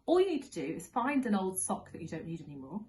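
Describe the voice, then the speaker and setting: A woman's voice: medium pitch, sing-song, quick pace, dark tone, slight background noise. A woman reading a children's story expressively as a school storyteller, leaning on key words for young listeners.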